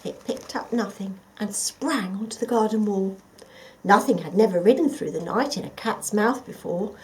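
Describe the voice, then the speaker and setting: A woman reading a children's story expressively and dramatically, often dropping to a hush. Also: mid-range voice, normal speed, low-quality recording. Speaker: volunteer storyteller.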